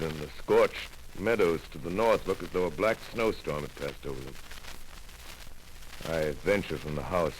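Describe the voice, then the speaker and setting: An actor playing a shaken, middle-aged male astronomer who survives alone, speaking in a brooding, halting radio-drama monologue. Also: low-pitched, poor audio. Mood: haunted. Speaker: narrator of a radio play. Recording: faint hiss, old radio broadcast.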